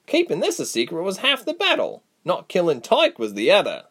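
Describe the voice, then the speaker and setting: Storybook role: a man doing a sharp-tongued woman healer, high-pitched, firm and level, with lively swings. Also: brisk, full character voice. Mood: scolding. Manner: calm, level, scolding. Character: blunt, sharp-tongued woman healer, calm but livid.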